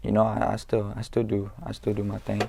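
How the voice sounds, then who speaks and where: A young man from California, a guest in an interview, talking in a laid-back, halting way with street slang and lots of fillers. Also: fairly low pitch, completely flat delivery, creaky, a little mellow, a little hiss or hum. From Norwalk, California. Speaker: guest, rapper. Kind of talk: casual interview talk.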